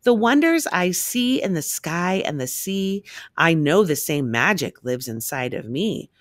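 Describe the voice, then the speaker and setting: A woman reading a rhyming children's picture book aloud in an expressive, measured storytelling manner. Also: lowish voice, no echo, studio quality.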